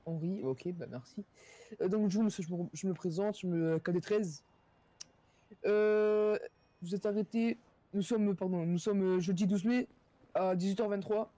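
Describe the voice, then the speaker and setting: A man improvising as a police officer in an online role-play game, speaking in a halting, formal way. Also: high voice, dark tone, no room sound.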